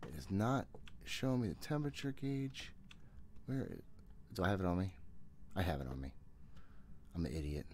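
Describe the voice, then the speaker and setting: A man in his thirties with a fairly low voice streams a horror game from a room at home. He mutters to himself in a casual, jittery way, with plenty of swearing. A little background noise sits under his voice. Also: darkish tone. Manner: jittery self-talk with frequent swearing. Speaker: gaming streamer. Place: small room at home, indoors.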